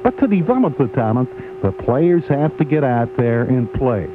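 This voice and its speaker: A tinny AM radio recording with a faint hum carries a man's mid-pitched voice. He reads sports commentary in a measured, emphatic announcer style. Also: big pitch swings.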